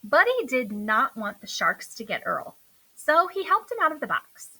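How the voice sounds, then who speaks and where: A woman reading a children's story aloud in an expressive, deliberate storytelling manner. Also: high-pitched, husky, poor audio. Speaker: storybook reader. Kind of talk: scripted children's story narration read aloud.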